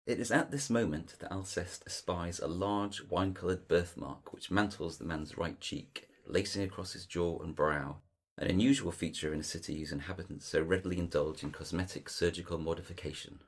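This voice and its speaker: A man narrating an audio drama as a measured, literary storyteller, with deliberate, descriptive delivery. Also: low-pitched.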